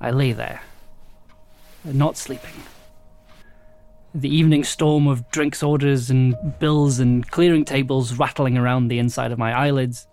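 An actor playing a worn-out, nervy restaurant worker tells an audio drama monologue in a mid-pitched voice. The delivery halts and doubles back on words, with clear music underneath. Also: steady tone, darkish tone, natural voice. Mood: weary. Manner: hesitant, halting, repeating words. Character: exhausted, anxious restaurant worker haunted by noisy neighbours. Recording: music behind the voice.

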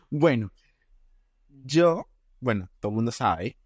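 A man's voice: mid-range voice, sing-song, a little mellow, slightly rough, dry recording, studio quality. Young Venezuelan man hosting a podcast. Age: 20s. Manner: halting, many restarts and fillers. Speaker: podcast host. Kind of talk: casual podcast chat.

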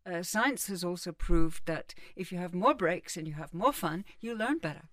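A middle-aged woman talks as an interview guest, lively and emphatic, and leans on everyday examples to make her points. Her voice sits on the lower side for a woman. She is picked up from slightly back from the microphone in a dry, dead room. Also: normal speed, no echo, recorded from a distance. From England. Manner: animated, emphatic, explains with examples. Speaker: guest psychotherapist. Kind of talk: casual conversational interview.

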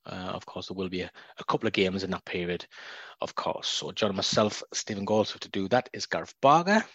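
Low-voiced chatty podcast host. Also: male voice, lively intonation, a little fast, a bit bright, slightly rough, no echo, dull audio. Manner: chatty, laughs often. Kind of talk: casual football podcast chat.

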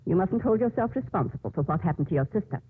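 An actress playing a kindly, wise Mother Superior, speaking in a measured, formal way in 1950s radio drama style. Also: on the low side, expressive, husky. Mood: consoling. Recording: dull, muffled 1950s radio recording.

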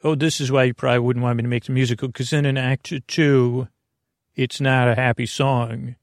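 Male sleep-podcast host, gravelly, rambling and self-interrupting, dry close sound. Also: little pitch movement, dry recording. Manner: meandering, self-interrupting, tangents; low-key, unhurried delivery. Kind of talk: rambling bedtime monologue.

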